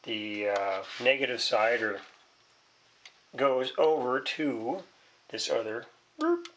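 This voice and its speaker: Slow-talking man thinking aloud, faint noise. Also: mid-range voice, big pitch swings, fairly bright tone, low-quality recording. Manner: hesitant, thinking aloud, folksy. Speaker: hobbyist repairing a vintage radio. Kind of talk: casual rambling hands-on repair demonstration.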